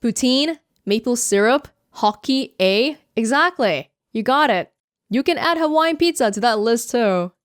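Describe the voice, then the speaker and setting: A woman's voice: on the high side, lively intonation, unhurried, no echo. A young woman from Canada answering viewer questions in a casual vlog, chatty and self-mocking, speaking close to the microphone. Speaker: YouTuber. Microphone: close studio mic.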